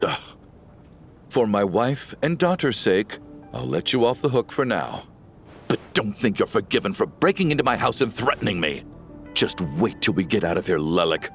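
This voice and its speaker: A man voicing a gruff, blustering husband and father who holds a grudge. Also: lowish voice, expressive, normal speed, soft background music. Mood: grudging.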